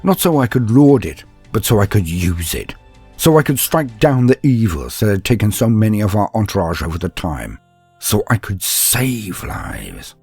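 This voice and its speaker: A man as an earnest young armored warrior, low and husky. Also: sing-song, darkish tone, no echo, faint music behind, heavily acted. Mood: fervent. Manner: solemn, deliberate, formal diction. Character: earnest bulky young armored psyker apprentice, recounting his own tale. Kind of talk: dramatic first-person story narration.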